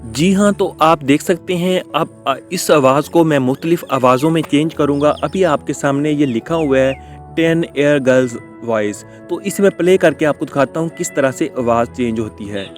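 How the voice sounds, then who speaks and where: Higher-pitched man hosting a tutorial. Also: normal speed, faint music behind. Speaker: host of a tech tutorial channel. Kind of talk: casual how-to tutorial narration.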